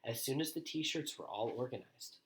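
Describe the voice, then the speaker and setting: A man's voice: mid-range voice, expressive, normal speed. Man reading a children's story aloud expressively. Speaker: storybook reader. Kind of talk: expressive children's read-aloud with quieter asides.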